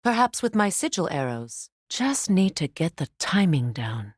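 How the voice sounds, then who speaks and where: A woman playing a proud, guarded warrior goddess in a video game, speaking in a measured, commanding, solemn manner. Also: on the low side, sing-song, husky, no room sound, studio quality, heavily acted. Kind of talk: dramatic video game acting.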